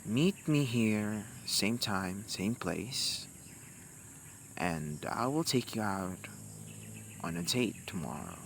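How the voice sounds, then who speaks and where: Very slow, halting young warlock played by a man. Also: fairly low pitch, gravelly, full character voice. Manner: halting, soft-spoken, addressing the listener intimately. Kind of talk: amateur romantic roleplay audio drama. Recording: noisy, with insect-like outdoor ambience. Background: crickets and night forest ambience.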